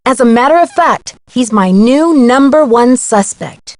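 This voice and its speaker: The higher, close and dry voice of a level-headed girl sleuth reporting clues in a mystery adventure game. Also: lively intonation, a little slow, no echo. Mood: matter-of-fact. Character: young female amateur detective, plucky, level-headed. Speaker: game voice actor. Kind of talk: scripted game dialogue.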